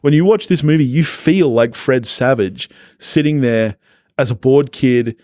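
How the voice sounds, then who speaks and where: A man's voice: mid-range voice, measured pace, a little mellow, no echo, studio quality. A man co-hosting a podcast, chatting casually about films in an enthusiastic, jokey way. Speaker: podcast co-host. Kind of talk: casual film discussion.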